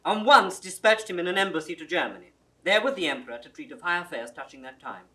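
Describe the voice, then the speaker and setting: An earnest younger brother with a grievance in a classical audio drama. His voice is high and bright, with an animated, formal, pleading delivery. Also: fast talker. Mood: aggrieved, earnest. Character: earnest, aggrieved thin younger brother claiming inheritance. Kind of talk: 1960s classical Shakespeare audio drama acting.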